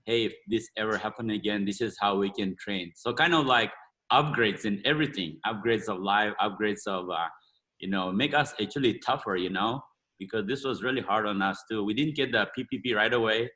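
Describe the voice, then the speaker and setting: Fast-talking earnest man, bright voice. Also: fairly low pitch, poor audio. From India or Burma. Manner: quick, earnest, broken English with many "you know"s. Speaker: martial arts school owner. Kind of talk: casual interview talk.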